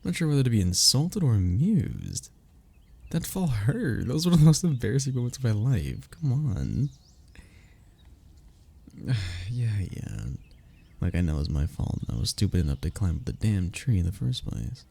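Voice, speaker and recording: A man playing a young, tender, self-deprecating lover in an intimate romantic ASMR roleplay, teasing softly in a low, husky, gravelly voice. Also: sing-song, normal speed, exaggerated voice. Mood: teasing, curious. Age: young adult.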